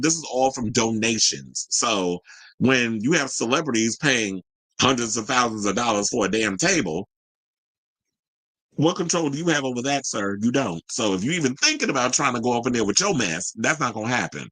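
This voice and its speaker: Bright, forceful male entertainment host. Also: mid-range voice, animated, moderate pace, no room sound, rough recording. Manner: conversational and opinionated, with slangy asides while reading news. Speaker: talk show host. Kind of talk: casual entertainment news commentary.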